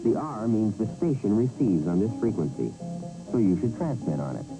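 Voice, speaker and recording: A man's voice: fairly low pitch, very animated, normal speed, low-quality recording. A man narrating a vintage instructional training film in a scripted, wry and emphatic way. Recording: vintage 1970 film soundtrack with music.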